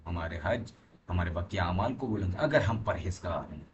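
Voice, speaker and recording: A man's voice: sits low, lively intonation, normal speed, highs cut off. A man giving a religious lesson, reading aloud and explaining in an emphatic, didactic manner as a teacher. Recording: clearly noisy recording with background ambience.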